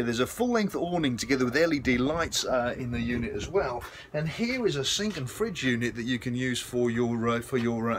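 Casting: British man, middle-aged, lively slightly high voice, casual rambling salesman vlogging on a phone with noticeable ambient noise. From United Kingdom. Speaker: RV salesman presenting a vlog. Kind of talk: casual walk-through product pitch to camera. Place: RV sales lot, outdoors and inside trailers. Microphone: phone at arm's length. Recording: handheld recording with clear ambient noise.

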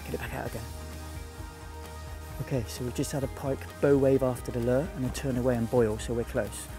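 A man guiding a beginner through fishing by a lake, giving quick, repeated instructions. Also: medium pitch, steady tone, over music. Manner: urgent, repetitive instructions. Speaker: fishing guide. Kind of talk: on-the-spot coaching. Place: outdoors by a lake.

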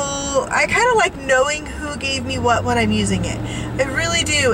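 A woman in her forties chatting bubbly and rambling to her viewers while driving, a little sniffly from allergies, recorded in a car on a dashboard camera. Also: high-pitched. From California. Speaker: vlogger. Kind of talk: casual chat while driving. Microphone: dashboard camera mic. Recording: road noise behind voice.

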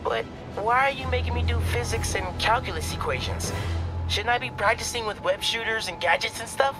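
An American teenage boy, a superhero's apprentice in a video game. He sounds youthful, casual and inquisitive. Music plays clearly behind him. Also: very high-pitched, fairly quick. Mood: casual, questioning.

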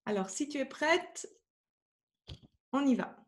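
A woman with a high voice hosts a live session from her home over a webcam. She greets viewers by name and introduces her guest in a welcoming way. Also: animated, slow pace. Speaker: host of a live masterclass. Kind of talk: hosting a live video session. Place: home room. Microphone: webcam on a video call.

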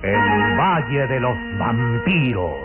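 Theatrical male radio announcer over loud music. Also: mid-range voice, expressive, takes it slowly. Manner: grand, theatrical, emphatic. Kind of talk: vintage radio serial announcing. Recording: phone-like vintage radio recording, loud music.

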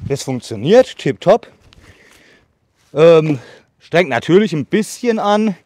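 A man in his thirties demonstrating a saw outdoors in casual talk, a bit out of breath, with many fillers and pauses. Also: on the high side, sing-song, darkish tone, no room sound. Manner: chatty, filler-heavy, pauses while sawing. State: slightly out of breath from sawing. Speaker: bushcraft channel host. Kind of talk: casual product demo. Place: outdoors in a leafy meadow by trees. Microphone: far camera on a tripod.

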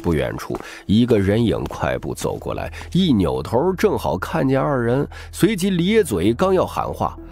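A man's voice: medium pitch, huge pitch swings, normal speed, vocal fry, hardly any pauses, darkish tone. A man giving dramatic scripted narration of a crime novel as an audiobook narrator. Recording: music bed behind the voice.

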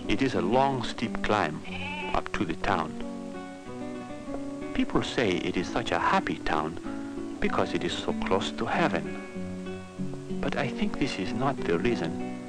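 A man narrating an educational film in simple scripted sentences, telling it like a story in measured, deliberate phrases. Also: sits low, sing-song, low-quality recording. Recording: 1960s educational film soundtrack with music. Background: guitar music.

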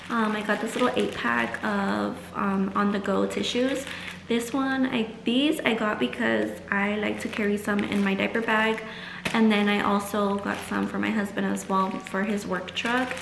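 A woman's voice: mid-range voice, vocal fry, echoey room, noisy background. A young woman chatting casually and informally to viewers in a vlog, sprinkling her talk with "like". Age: young adult. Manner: chatty, informal, heavy use of "like". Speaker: vlogger. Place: small room at home, indoors.

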